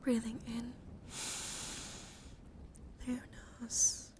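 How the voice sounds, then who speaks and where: A woman livestreamer giving a guided ASMR relaxation in a gentle, soothing, encouraging manner. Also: a little high, completely flat delivery, drawn-out words, background noise. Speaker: livestream host.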